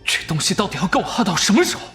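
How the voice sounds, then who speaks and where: An actor playing a young cultivator's wary, impatient inner thoughts in an audio drama, muttering tensely. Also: male voice, sits high, very animated, racing, bright, forceful tone, exaggerated voice. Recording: echoing thought effect, music behind.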